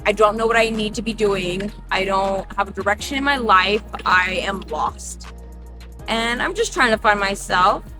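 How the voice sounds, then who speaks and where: A woman's voice: mid-range voice, normal speed, recorded from a distance, music in the background. A woman in her twenties answering a street interview candidly, leaning on "like" as she talks. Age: 20s. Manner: candid, many "like" fillers.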